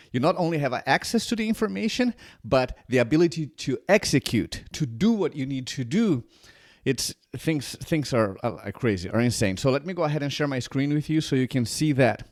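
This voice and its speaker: A man's voice: mid-range voice, expressive, moderate pace, no room sound, studio quality. A man in his forties from Brazil with a Brazilian accent, talking casually to camera as a channel host, rambling with many restarts. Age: 40s.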